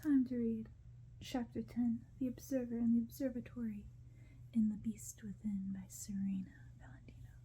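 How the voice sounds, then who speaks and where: A woman narrating a storybook in ASMR style, gentle, hushed and unhurried. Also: a little high, flat delivery, a little hiss or hum, rough recording. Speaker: ASMR creator reading a novel.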